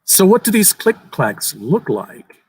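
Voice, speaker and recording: A man's voice: high voice, sing-song, takes it slowly, a little mellow, husky, pitched above the performer's own voice, exaggerated voice. A man playing a skeptical, curious young man who humors and questions, slightly uneasy, in a horror story reading. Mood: uneasy, probing.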